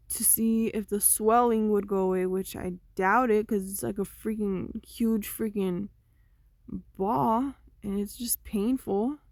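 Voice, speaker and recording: A young woman vlogging, talking through her thoughts in a slow, meandering, conversational way that often trails off. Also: medium pitch, vocal fry, darkish tone, no room sound. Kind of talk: rambling personal monologue.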